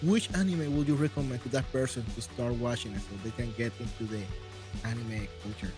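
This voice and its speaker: A man's voice: mid-range voice, fairly quick, over music. A man hosting a casual interview chat, enthusiastic and often repeating himself as he talks. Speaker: host.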